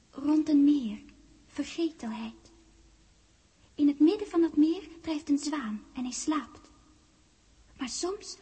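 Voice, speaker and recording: Casting: dreamlike young woman of memory, high soft-toned voice, tender and teasing, vintage radio play. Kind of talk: 1960s radio drama acting.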